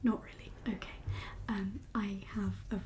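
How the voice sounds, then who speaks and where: Softly whispering woman, gentle and breathy. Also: medium pitch, fairly even intonation, moderate pace, slight background noise. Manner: gentle, reassuring, hesitant consultation patter. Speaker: ASMR performer playing a doctor in a roleplay. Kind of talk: ASMR whispered roleplay.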